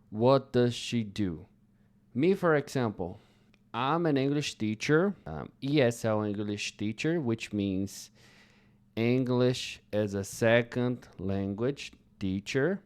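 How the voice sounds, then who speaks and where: A young man from Brazil, a Portuguese native speaker with a Brazilian accent, teaching English slowly and carefully to learners. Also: mid-range voice, darkish tone, clear, resonant tone. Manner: slow, careful, deliberate phrasing with pauses. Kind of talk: slow English lesson talk.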